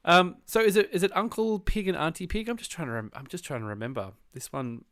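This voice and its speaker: A man's voice: high-pitched, expressive, quick pace, fairly bright tone, no echo, clean studio sound. An Australian man hosting a podcast in casual chat, laughing easily and thinking aloud as he goes. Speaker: podcast host.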